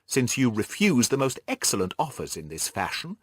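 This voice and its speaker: A man's voice: mid-range voice, huge pitch swings, moderate pace, breathy edge, no echo, studio quality, exaggerated voice. A man voicing an annoyed female employment agency manageress in an audiobook, speaking sharply and curtly. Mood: sharp, dismissive. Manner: sharp, curt.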